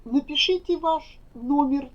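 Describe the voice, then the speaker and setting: A woman teaching Russian, saying each phrase slowly and carefully so learners can repeat it. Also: sits high. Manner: careful, deliberate enunciation. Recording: dull lo-fi recording.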